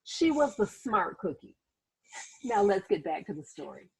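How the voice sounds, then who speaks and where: A woman reading a children's story aloud like a teacher, expressive and dramatic, with asides to her listeners. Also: medium pitch, moderate pace, creaky, husky, poor audio. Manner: animated, dramatic, acts out sound words and exclamations.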